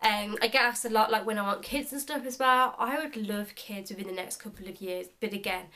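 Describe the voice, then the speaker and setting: Woman, 20s, vlogger, casual chat, upbeat, filler-heavy, mid pitch. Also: brisk, pushed and bright. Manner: chatty and upbeat, many "like" fillers. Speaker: beauty vlogger. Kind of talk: casual chatty vlog.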